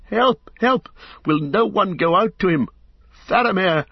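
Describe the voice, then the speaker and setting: A man's voice: fairly low pitch, normal speed, breathy edge, dry recording. A man voicing a grave, loyal adult guardsman with solemn, old-fashioned speech, from an audiobook reading. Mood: alarmed. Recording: dull lo-fi audiobook recording.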